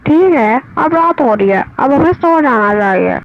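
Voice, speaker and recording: A woman's voice: high voice, expressive, moderate pace. A woman voicing a friendly, helpful young male bird in a children's cartoon, simple and eager. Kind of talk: children's cartoon story dub. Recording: dull, faintly noisy, radio-like.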